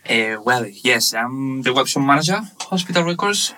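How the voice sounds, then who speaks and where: Halting Spanish-accented man in his thirties. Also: mid-range voice, lively intonation, bright, forceful tone, slight background noise. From Spain. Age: 30s. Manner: halting, searching for words with many fillers. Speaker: guest, webshop manager. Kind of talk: casual interview chat.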